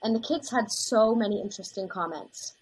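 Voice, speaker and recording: A young woman playing an earnest, caring elementary school teacher in a scripted dramatization, slightly breathy. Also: medium pitch, brisk, natural voice, poor audio. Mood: earnest, tender. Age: young adult. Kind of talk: scripted dramatization on video call. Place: indoors, plain backdrop, video call.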